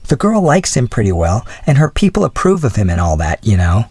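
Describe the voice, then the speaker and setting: A man's voice: mid-range voice, very animated, a little fast, darkish tone, slightly rough, no room sound, pitched above the performer's own voice. A man voicing an earnest, flustered young woman in a storybook, speaking in a hesitant, gushing way. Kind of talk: storybook dialogue.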